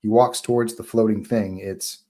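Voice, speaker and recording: A man's voice: on the low side, fairly even intonation, moderate pace, mellow. A man narrating stage directions at a live screenplay table read.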